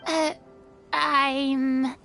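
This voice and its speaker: A woman voicing a small, spirited, kindhearted girl adventurer in a game, eager and expressive. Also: sits high, very slow, creaky, faint music behind, heavily acted. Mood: curious. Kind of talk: game voice acting.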